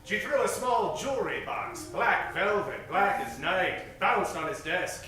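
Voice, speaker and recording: High-pitched theatrical man announcer in echoey hall. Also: animated, measured pace, heavy background noise. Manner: grandiose, dramatic announcer delivery. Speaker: host and narrator of a live radio comedy show. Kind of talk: theatrical old-time radio announcing and narration. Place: live stage performance, roomy. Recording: echoey stage from far off, music behind.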